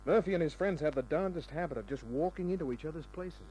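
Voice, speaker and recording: A man's voice: on the high side, lively intonation, quick pace, mellow, slight background noise, natural voice, rough recording. Hard-boiled, wisecracking private eye played by a man. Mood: needling. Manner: wry, needling, hard-boiled. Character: cynical, tough wisecracking male private detective. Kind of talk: mid-century radio crime drama acting and first-person narration. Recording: old radio recording with music.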